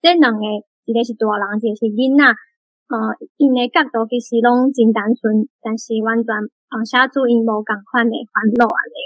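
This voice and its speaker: A woman's voice: fairly high pitch, steady tone, fairly quick, dry recording, highs cut off. A woman with a Taiwanese accent sharing her reflections as a reading group member. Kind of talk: spoken reading reflection in a discussion. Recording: music behind the voice.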